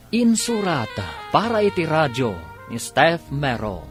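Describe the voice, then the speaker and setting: A male radio drama announcer with a Filipino accent reads over prominent music. He paces his phrases slowly and theatrically, with big, lively movement in his mid-pitched voice. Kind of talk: dramatic scripted narration.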